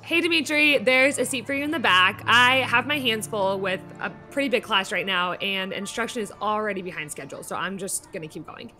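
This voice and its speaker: A woman's voice: medium pitch, a little fast, hardly any pauses, bright, forceful tone, faint music behind, close to an everyday voice. A woman playing a busy, stern elementary teacher who gives firm, repeated instructions in an acted classroom scene. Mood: hurried.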